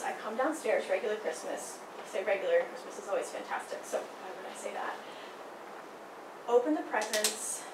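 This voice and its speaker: A young woman telling a personal story in a casual, animated way, acting out the people she quotes. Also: fairly high pitch. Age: young adult. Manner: animated, acts out quoted voices. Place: small indoor room by a theatre poster. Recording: roomy, distant, noisy.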